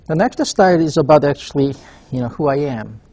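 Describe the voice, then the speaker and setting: Gravelly Korean-accented man giving a lecture. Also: a little high, animated, warm tone. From South Korea. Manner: halting, frequent "uh" fillers. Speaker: university professor. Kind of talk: webcast lecture.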